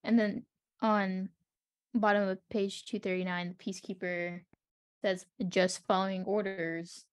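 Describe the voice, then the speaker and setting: A woman's voice: mid-range voice, little pitch movement, a little slow. A woman co-hosting a podcast, talking casually through a book with others. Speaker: podcast co-host.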